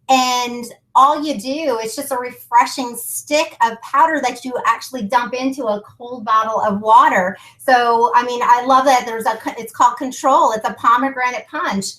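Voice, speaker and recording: A woman's voice: a little high, fairly bright tone, rough recording. A woman hosting a business call, giving an enthusiastic, informal sales presentation built on testimonials. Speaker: business conference call host and product presenter. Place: conference call.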